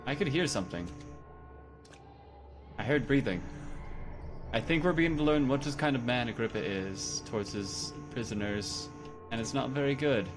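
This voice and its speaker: A man talking his way through a horror game in a lively, bouncing voice, joking at his own expense and chatting to his character. Clear game music plays behind him. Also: mid-range voice, moderate pace, long pauses. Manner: chatty, self-mocking, talks to the game character. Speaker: let's play gamer. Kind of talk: casual game commentary.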